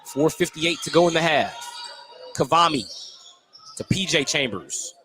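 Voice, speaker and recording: Man, radio play-by-play announcer, higher-pitched voice, clipped phrases, excitable, live basketball commentary, loud gym noise behind. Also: a little slow, rough recording. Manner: clipped descriptive phrases, excited on big plays. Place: indoor basketball gym, courtside.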